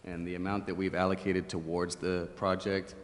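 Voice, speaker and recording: A man's voice: deep voice, level tone, moderate pace. A man giving a formal explanation as a staff member at a public meeting. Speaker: city staff member reporting to council. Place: indoor city council chamber. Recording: echoey council chamber with faint noise.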